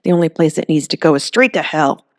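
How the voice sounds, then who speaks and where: A suspicious small-town sheriff , read by a woman, threatens in a low, cold voice. The delivery is quiet and held back. It is recorded close and dry. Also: fast, dry recording, natural voice. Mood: menacing.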